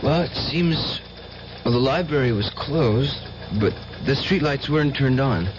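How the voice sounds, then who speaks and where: An actor playing a distraught, haunted man in a radio drama, speaking in a halting, troubled way. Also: medium pitch, close to an everyday voice. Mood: troubled, uncertain. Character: distraught, haunted man grieving a murdered woman. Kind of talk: 1970s radio drama acting. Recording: dull old radio recording, clear noise.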